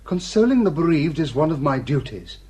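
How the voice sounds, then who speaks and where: An actor playing a prim village rector in a radio play, formal, defensive and easily affronted. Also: male voice, on the high side, big pitch swings, a little fast, mellow, husky. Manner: formal, indignant, evasive.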